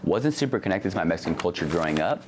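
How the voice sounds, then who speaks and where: Deep-voiced man in a casual interview. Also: big pitch swings, a little hiss or hum. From Southern California. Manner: halting, restarts, laughs softly. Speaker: interviewee, comedian.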